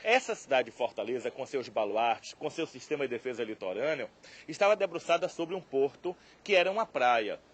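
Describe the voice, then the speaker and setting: A man speaking as an expert interviewee, explaining things thoughtfully with occasional pauses. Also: mid-range voice, lively intonation.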